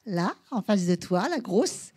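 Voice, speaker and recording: A woman's voice: medium pitch, sing-song, a little slow, darkish tone, slightly rough, dry recording, clean studio sound. Chatty woman talk show host. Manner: chatty, laughs often. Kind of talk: casual chat.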